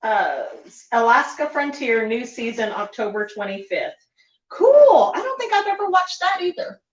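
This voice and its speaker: A woman's voice: a little high, very animated, normal speed. A woman co-hosting a casual home livestream, laughing often as she reads viewer comments aloud. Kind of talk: casual chat. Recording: echoey room, slightly dull sound.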